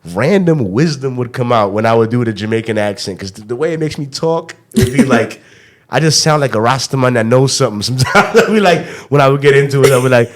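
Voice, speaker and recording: A man's voice: medium pitch, animated, fast talker, hardly any pauses. A young man hosting a podcast in casual chat, telling loose stories and often checking in with "you know what I mean". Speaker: podcast host.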